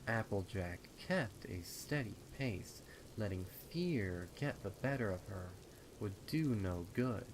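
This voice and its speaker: A man's voice: lowish voice, noisy background. A man narrating a scripted horror story in a dramatic reading style. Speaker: fan fiction narrator. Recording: rain and storm sounds behind. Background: rain sound effects.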